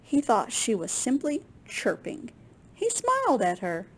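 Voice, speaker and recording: Woman storyteller for children's read-aloud: higher-pitched, very lively intonation, unhurried delivery. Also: slight background noise. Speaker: storyteller reading children's books.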